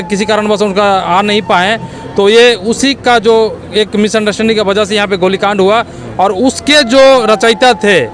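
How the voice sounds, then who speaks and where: Male interviewee, Jharkhand accent, notably high voice, earnest community activist explaining a grievance outdoors. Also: a bit bright. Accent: eastern Indian, Jharkhand. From Jharkhand, India. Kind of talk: spoken interview statement.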